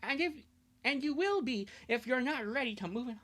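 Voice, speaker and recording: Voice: high-pitched, lively intonation, normal speed, a bit bright, voice pushed up. A livestreamer voicing an earnest, wounded young girl in a pleading, emphatic amateur comic dub. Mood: earnest, sad.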